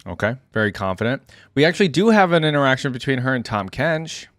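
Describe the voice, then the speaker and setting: A man's voice: medium pitch, very animated, quick pace, fairly bright tone, no room sound, faint music behind. Casual, musing man giving reaction commentary. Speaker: reaction video host.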